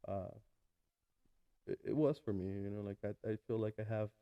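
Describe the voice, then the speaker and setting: A man in his early thirties from Mexico with a Mexican Spanish accent, a guest talking casually in an interview with many pauses and restarts. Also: on the low side, dull audio. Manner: hesitant, many fillers and restarts. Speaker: guest, food truck owner.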